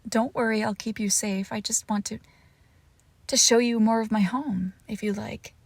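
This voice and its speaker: A woman playing a lovesick, possessive and lonely witch in an intimate ASMR roleplay, speaking softly and breathily and often slipping into tender whispers. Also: fairly high pitch, fairly even intonation, normal speed, no echo. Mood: shy, playful.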